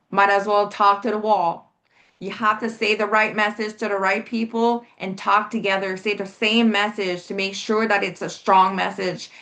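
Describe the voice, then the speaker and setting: A woman's voice: medium pitch, fairly bright tone. Earnest woman guest on a podcast. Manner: earnest, emphatic, repeats her points. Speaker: guest, health coalition organizer. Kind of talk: casual interview talk.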